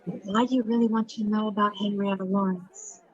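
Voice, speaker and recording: A woman's voice: mid-range voice, steady tone, normal speed, husky, some chatter behind, close to an everyday voice. Cool, scheming receptionist, slightly muffled woman acting. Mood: confiding, sly. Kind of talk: hard-boiled detective audio drama.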